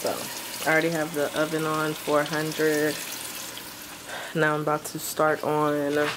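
Low-voiced woman rambling through a cooking vlog. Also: monotone, a little slow. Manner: rambling, many ums, self-deprecating. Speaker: vlogger. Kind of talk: casual cooking vlog narration. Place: small home kitchen, indoors. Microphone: handheld camera, close and moving. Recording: noisy kitchen with frying sounds.

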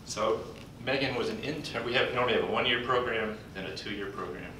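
An older man speaking at a podium in a large conference hall, introducing a colleague in a folksy, halting way with pauses. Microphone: podium mic, recorded from afar by a computer. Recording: distant echoey hall recording with background rumble.

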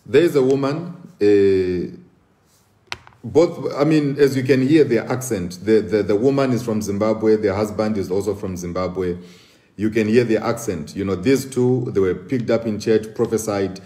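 A man's voice: lowish voice, normal speed, mellow. A man in his thirties talking live to camera indoors, earnest and full of fillers and restarts. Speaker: host. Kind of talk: casual live commentary. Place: indoor room at a table.

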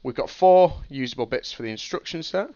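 A man's voice: on the high side, lively intonation, brisk, mellow, no room sound, highs cut off. A young man with a cold, sniffly as he explains a processor design on a casual live stream, thinking aloud with ums and restarts. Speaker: streamer teaching game programming.

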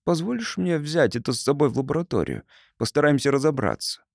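A man's voice: on the high side, very animated, a little fast, gravelly, a little mellow, no room sound, studio quality, voice pushed up. A man voicing an eager, inquisitive engineer neighbour who questions and explains in an audiobook story. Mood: excited curiosity. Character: agitated, inquisitive male engineer neighbour, technically minded. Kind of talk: audiobook character acting.

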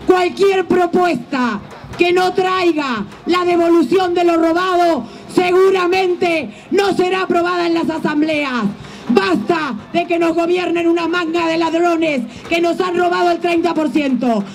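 A middle-aged woman with an Argentine accent giving an impassioned, forceful rally speech outdoors into a handheld microphone, as a union leader. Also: very high-pitched, fairly even intonation, a little slow, creaky. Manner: impassioned, forceful shouted oratory. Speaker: teachers' union leader and legislator. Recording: outdoor microphone with faint noise.

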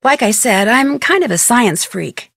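A plucky young American female detective from a mystery video game. Her voice sits fairly high and is recorded dry. She speaks clearly and directly, as a polite, articulate guide and sleuth. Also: animated, measured pace, fairly bright tone, dry recording, heavily acted. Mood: friendly, casual. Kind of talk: scripted video game dialogue and tutorial narration.

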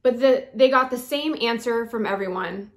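A woman's voice: a little high, moderate pace. A woman in her thirties reading a children's picture book aloud expressively, speaking to young listeners. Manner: expressive, acts out dialogue, addresses young listeners. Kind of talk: children's storytime read-aloud. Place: bright room by a window.

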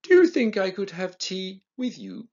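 A big storybook tiger acted out by a man, hungry but very courteous. He speaks deliberately in a raised, lilting voice, on a slightly dull recording. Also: sits high, wildly swooping pitch, darkish tone, voice pushed up, exaggerated voice. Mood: polite, pleading.

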